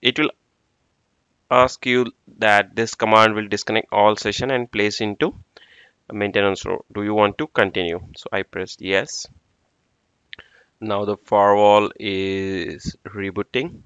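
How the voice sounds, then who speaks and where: A man with a low, smooth voice talks through a firewall-style tech walkthrough step by step, with small fillers between steps. The sound is dry and close, with a dull, slightly lo-fi tone. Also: level tone, a little slow, fairly bright tone, no echo. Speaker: network engineer instructor. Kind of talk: screen-recorded tech tutorial. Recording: dull dry lo-fi screen recording.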